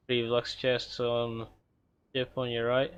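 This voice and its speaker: A man streaming a game and chatting casually with viewers, relaxed and rambling, chuckling often. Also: medium pitch, clear, resonant tone. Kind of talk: casual chat while gaming.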